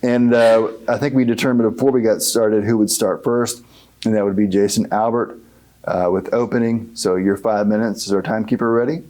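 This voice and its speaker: A man's voice: on the low side, fairly even intonation, fairly quick, dark tone, poor audio. A man moderating a candidate forum, explaining procedure and handing over turns in a casual, accommodating way.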